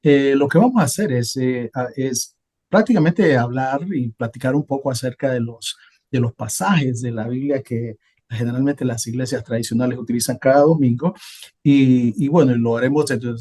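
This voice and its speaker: Honduran male co-host, husky voice, relaxed, loose conversational delivery. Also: mid-range voice, normal speed. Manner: relaxed, thinking aloud with many hesitations. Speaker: podcast co-host. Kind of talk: casual conversational talk about Bible passages.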